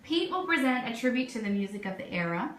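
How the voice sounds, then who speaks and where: A woman's voice: fairly high pitch, fast. A woman radio host chatting enthusiastically and informally as she runs through upbeat event announcements. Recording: roomy echo, steady background noise.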